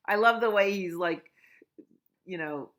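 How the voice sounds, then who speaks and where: A woman children's librarian reading a picture book aloud at storytime, slow and expressive. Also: medium pitch.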